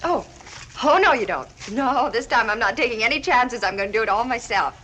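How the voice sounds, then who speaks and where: An actress playing a lively young woman at a party, playful and polite in 1940s film style. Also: on the high side, brisk. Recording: slightly noisy old film soundtrack.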